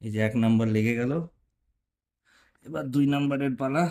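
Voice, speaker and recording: A man's voice: medium pitch, brisk, vocal fry, darkish tone. A man narrating a hands-on motorcycle vlog tutorial casually, talking viewers through each step in an informal way. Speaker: motorcycle vlogger.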